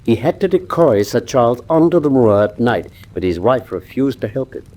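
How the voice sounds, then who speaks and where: Guy acting a british gentleman detective in a radio play, super sure of himself, precise, voice swoops around. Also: mid-range voice, very animated, moderate pace, lightly acted. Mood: assured, explanatory. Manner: precise, theatrical, self-assured. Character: confident, incisive gentleman detective explaining his case.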